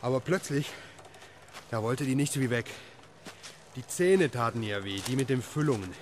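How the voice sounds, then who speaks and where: A man's voice: mid-range voice, normal speed, a little hiss or hum, close to an everyday voice. Actor as a weary, uneasy family man. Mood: reflective. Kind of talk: German radio drama acting.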